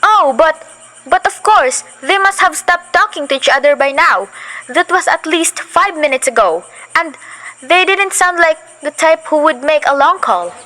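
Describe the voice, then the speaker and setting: A fretful, demanding invalid housewife who grows impatient and talks in a rush, voiced by a young actress. Her voice sits high. It is a school radio play production. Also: animated, pushed and bright, poor audio. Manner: fretful, impatient, talks fast when agitated. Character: anxious, demanding invalid housewife, adult woman.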